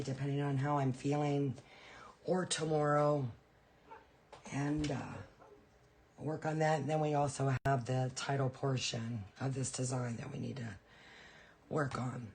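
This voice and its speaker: A woman with a raspy, hoarse voice from a cold, hosting a casual live painting tutorial and chatting with viewers. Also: low voice, a little mellow, slight background noise. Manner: chatty, greets viewers by name.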